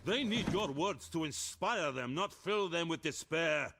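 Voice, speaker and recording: A man acting as a stern, menacing Soviet officer in a video game cutscene, speaking with measured authority. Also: sits low, noisy background. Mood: angry, commanding.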